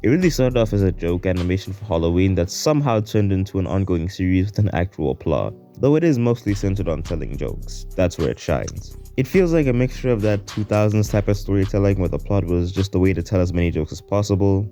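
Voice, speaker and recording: Male video essayist, low and mellow, casual slangy scripted narration. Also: expressive, fairly quick, few pauses. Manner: informal, slangy. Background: faint music.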